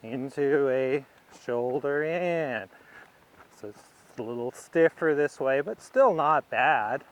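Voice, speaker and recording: A man giving instructional commentary as a riding instructor, thinking aloud in short, unhurried phrases as he demonstrates. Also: medium pitch, expressive.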